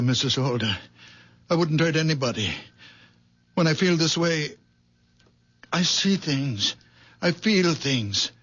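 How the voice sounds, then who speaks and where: A gentle, troubled very old man from a 1970s radio mystery, with healing hands. He talks in halting, stammering phrases full of apology. His voice is husky. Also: a little high. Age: older. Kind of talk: 1970s radio drama acting.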